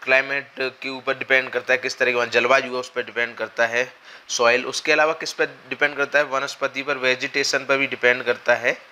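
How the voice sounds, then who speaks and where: Hoarse young man teaching at a whiteboard. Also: medium pitch, level tone, brisk, pushed and bright, a little hiss or hum. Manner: explanatory, with frequent check-ins and hesitations. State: hoarse from a cold. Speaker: exam coaching teacher. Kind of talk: whiteboard lecture. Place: classroom at a whiteboard, indoors. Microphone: lapel mic.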